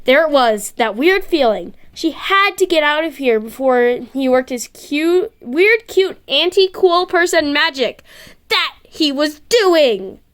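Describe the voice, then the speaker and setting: High-voiced woman reading fiction aloud. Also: lively intonation, slow pace, a bit bright. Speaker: amateur fan fiction narrator. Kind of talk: scripted story narration.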